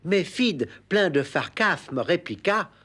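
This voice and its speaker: A man's voice: sits high, sing-song, slow pace, fairly bright tone, slightly rough, heavily acted. A man playing a pompous, overdramatic poetry reader with a lisp-like impediment, in a comic recital. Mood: dramatic storytelling. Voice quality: muddled sibilants, lisp-like impediment.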